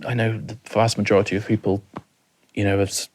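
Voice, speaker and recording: A Welsh man in his thirties with a very deep voice that barely moves in pitch. He talks softly and haltingly, often repeating a word before going on. He is a guest on a talk podcast telling a hard story from his own life. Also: flat delivery. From rural mid Wales. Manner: halting, repeats words, soft-spoken. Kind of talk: emotional personal storytelling.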